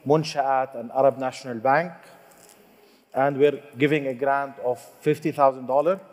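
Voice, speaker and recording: A man moderating a business panel, formal and pausing between phrases. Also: medium pitch, a little mellow. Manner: formal, reads introductions with pauses. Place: conference hall. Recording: hall reverb.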